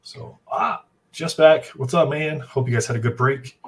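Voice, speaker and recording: A man hosting a livestream in an upbeat, casual way, reading chat aloud and keeping the talk moving. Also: mid-range voice. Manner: upbeat, reads chat aloud, quick fillers. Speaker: stream host and producer.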